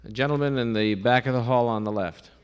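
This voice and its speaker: A man moderating a stage event, calling on audience members and relaying their questions in a brisk, plain way. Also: medium pitch, clear, resonant tone. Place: large auditorium. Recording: long hall reverb.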